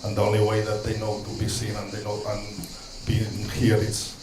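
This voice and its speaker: Spanish-accented man with a low voice. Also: completely flat delivery, normal speed, roomy sound, background noise. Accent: Catalan-Spanish. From Catalonia, Spain. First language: Catalan and Spanish. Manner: hesitant, many ums and restarts, warm asides. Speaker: street social educator, panel speaker. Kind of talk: conference talk from notes.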